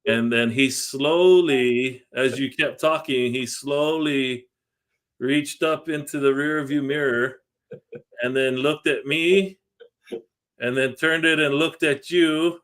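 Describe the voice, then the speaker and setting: Male podcast host, mid-pitched, relaxed reminiscing, laughs along. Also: takes it slowly. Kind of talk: casual interview chat.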